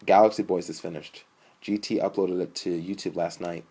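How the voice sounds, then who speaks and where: A man's voice: low-pitched, fairly even intonation, moderate pace, dark tone. A man voicing a laid-back, smirking young bro who brags in slang, as a character in a narrated story. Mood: proud, casual.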